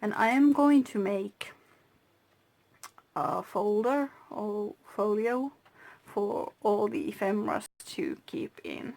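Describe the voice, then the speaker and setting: A Finnish-native woman hosting her own craft channel, talking through a handmade journal slowly, with pauses and soft whispered asides. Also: medium pitch, very animated, gravelly. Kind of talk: casual crafting flip-through.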